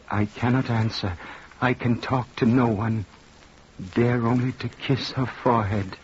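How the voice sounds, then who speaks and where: A man's voice: medium pitch, close to an everyday voice. An actor playing a remorseful, lonely old fisherman hermit who narrates his confession solemnly in an old radio drama. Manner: slow-phrased, solemn, confessional. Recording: noisy muffled old radio recording.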